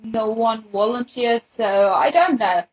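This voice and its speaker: A woman with a husky voice talking casually in a podcast conversation. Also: a little high, measured pace. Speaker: podcast participant, fan event organiser. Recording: dull, lo-fi voice recording.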